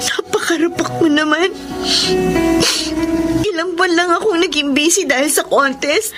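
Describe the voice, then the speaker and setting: A Filipino actress playing an emotional young daughter, a singing hopeful, in a Tagalog radio drama. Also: female voice, very high voice, normal speed, over loud music. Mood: hurt, accusing. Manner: emotional, plaintive. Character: heartbroken, grateful young daughter, a singing contest winner.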